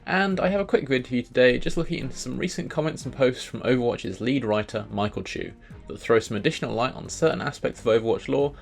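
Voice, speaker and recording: A man's voice: medium pitch, fast talker, gravelly. A man hosting a video, reading scripted commentary on video game lore. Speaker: video host.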